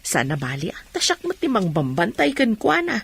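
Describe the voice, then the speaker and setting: A woman playing a gentle, forgiving older mother with an Ilocano accent in a radio drama. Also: medium pitch, huge pitch swings, moderate pace, vocal fry, breathy edge, full character voice. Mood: patient. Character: kindly, forgiving older mother and younger sister.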